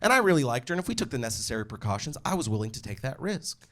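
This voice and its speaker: A man's voice: medium pitch, racing. A man doing stand-up comedy in front of a live audience, telling a story casually and acting out dialogue. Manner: casual, animated, swearing, acts out dialogue. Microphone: stage microphone. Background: audience laughter.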